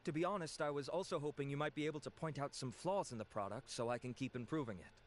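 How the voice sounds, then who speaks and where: A man's voice: a little high, fast, a little hiss or hum, close to an everyday voice. A man voicing a courteous, earnest cosmetics researcher in an English video game dub, speaking politely and formally.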